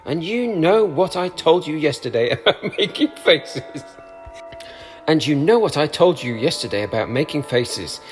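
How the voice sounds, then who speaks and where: A man's voice: fairly high pitch, expressive, normal speed, big-room sound, faint music behind, natural voice. A man voicing a motherly female kangaroo from a storybook, soothing yet firm and sweetly condescending. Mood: stern. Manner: sweetly condescending, mock-thoughtful, firm scolding.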